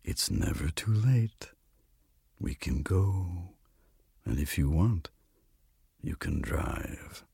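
A man voicing a shy, lonely Death in a story reading, gentle and halting, often stammering. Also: low-pitched, wildly swooping pitch, breathy edge, no echo, clean studio sound, voice pushed down, full character voice. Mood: quiet, tender. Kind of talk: literary story reading with character dialogue.

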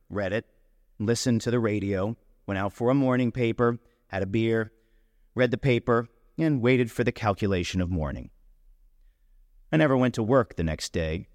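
A man reading a first-person literary short story aloud, with deliberate, dramatic phrasing. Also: lowish voice, no echo, clean studio sound, big-room sound. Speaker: story reader.

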